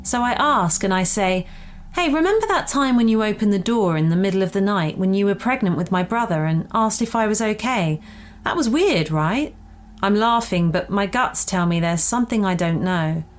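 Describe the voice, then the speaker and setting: A woman with a medium-pitched voice reads a first-person account as scripted narration. She sounds conversational, and there is a faint noise in the recording. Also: lively intonation, moderate pace. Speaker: story reader.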